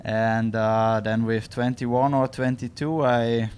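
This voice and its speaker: A man's voice: medium pitch, steady tone, slow, darkish tone. A young man from Austria with an Austrian German accent, a guest answering interview questions hesitantly with many fillers. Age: young adult. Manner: hesitant, many "uh"s, short agreeing replies. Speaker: guest athlete. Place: hotel.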